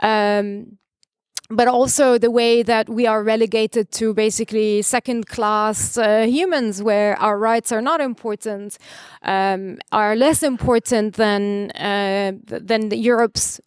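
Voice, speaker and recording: A woman's voice: fairly high pitch, fairly even intonation, takes it slowly, clean tone, no echo, clean studio sound. A woman from Palestine, speaking as a panelist and arguing her points with passion and frequent restarts. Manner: impassioned, argumentative, with frequent restarts. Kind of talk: conference panel answer. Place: conference stage.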